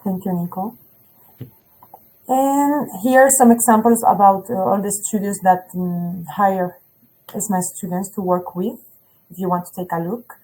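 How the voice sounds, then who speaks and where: Brief: woman, hesitant school rep, mid-pitched, filler-heavy webinar English. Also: fairly even intonation, a little slow, mellow, poor audio. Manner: hesitant, many fillers, non-native English. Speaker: school representative. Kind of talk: webinar presentation.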